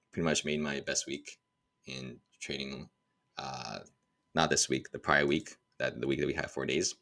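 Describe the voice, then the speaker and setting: An extremely low-pitched man with a fry-laden, creaky voice gives informal trading commentary. He sounds like he is thinking out loud, stopping and starting as he walks viewers through the screen. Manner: informal, thinking aloud, halting. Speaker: stock trader giving market updates. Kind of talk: casual screen-share market commentary.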